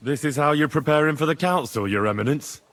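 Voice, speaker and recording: An actor playing a plain-spoken, earnest young hero in a video game, with a dry, understated manner. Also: male voice, fairly high pitch, fast, fairly bright tone, soft background music, lightly acted. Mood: teasing.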